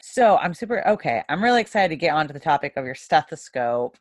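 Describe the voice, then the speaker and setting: A woman's voice: low-pitched, very animated, fast, no room sound, clean studio sound. A woman hosting a casual interview, friendly and supportive, laughing along with her guest. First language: English. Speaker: interviewer host.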